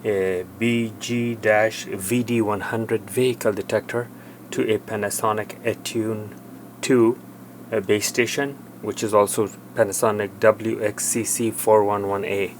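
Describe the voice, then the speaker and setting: A man presenting an unscripted technical demonstration, explaining step by step in a halting, plain way. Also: lowish voice, flat delivery, slight background noise. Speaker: tech tutorial presenter. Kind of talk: hands-on product demonstration, unscripted.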